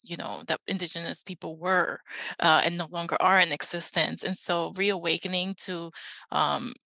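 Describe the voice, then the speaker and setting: A woman from New York speaking reflectively and personally as a library guest, pausing often. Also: fairly low pitch, fairly even intonation, gravelly, pushed and bright, no room sound. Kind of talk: casual panel talk.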